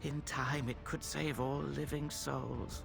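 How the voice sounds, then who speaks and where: A man playing a zealous, brooding temple scholar in a fantasy video game, speaking in measured, theatrical, formal phrases. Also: mid-range voice, steady tone, vocal fry, music in the background. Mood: weary, despairing. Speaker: video game character actor. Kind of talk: dramatic fantasy game dialogue.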